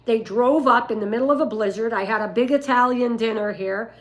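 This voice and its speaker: An older woman with a rough voice, telling stories and giving advice casually on a livestream, in an emphatic, deliberate way. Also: a little high. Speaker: dog breeder and livestream host.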